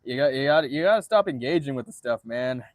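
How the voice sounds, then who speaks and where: The monotone guy livestreaming from a dry-sounding spot, stumbling back over his phrases and cursing as he answers the chat. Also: mid-range voice, dry recording. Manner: halting, repeats and restarts phrases; frequent swearing.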